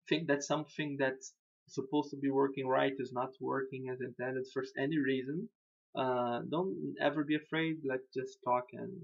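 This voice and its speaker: A gravelly-voiced man whose first language is Portuguese talks through a website design in loose, informal English. He repeats himself, restarts sentences and chuckles at his own slips. The recording sounds a little dull and lo-fi. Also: medium pitch. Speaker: web designer. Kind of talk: casual screen-recorded walkthrough.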